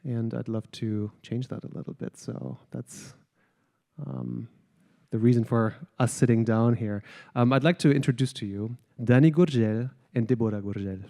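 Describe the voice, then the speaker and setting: A man's voice: mid-range voice, normal speed, gravelly, mellow. A man hosting an onstage interview with guest musicians, speaking in a friendly, hesitant way with many ums and uhs. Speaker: host, jazz studies director.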